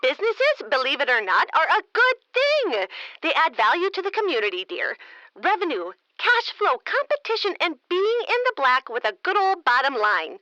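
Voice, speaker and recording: Condescending female mayor, high bright voice, sugary politeness, pointed digs, comedy radio play. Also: big pitch swings, normal speed, no room sound, muffled recording, full character voice. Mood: smug, scornful. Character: smug, condescending small-town female mayor in a radio interview. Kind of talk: comedic audio drama acting.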